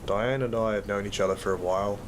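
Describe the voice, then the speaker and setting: A man's voice: on the low side, fairly even intonation, fast talker, natural voice. A man with an Australian accent playing a weary, troubled father who narrates his grim working life in an audio drama. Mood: somber. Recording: very noisy track, rain and storm ambience.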